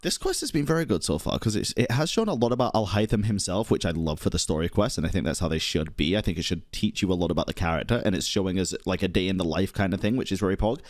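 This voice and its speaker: A man's voice: deep voice, expressive, fast talker, few pauses, no room sound, studio quality. A man streaming a game, giving casual, slangy, opinionated reactions with plenty of swearing. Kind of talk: casual live gameplay reaction.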